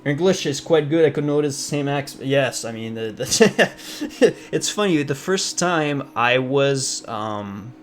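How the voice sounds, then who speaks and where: A man's voice: medium pitch, expressive, unhurried, slight background noise. A young man with a French Canadian accent, a streamer from Ontario whose first language is French, chatting casually with viewers in a rambling way full of fillers. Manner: rambling, many fillers and restarts, reads chat aloud. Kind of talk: casual live-stream chat.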